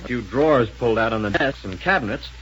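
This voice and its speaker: A man's voice: mid-range voice, animated, fast talker, a bit bright, natural voice. A man playing an eager, respectful young hero in a 1930s radio space adventure. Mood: reasoning, alert. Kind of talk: 1930s radio serial acting. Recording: dull muffled 1930s radio recording.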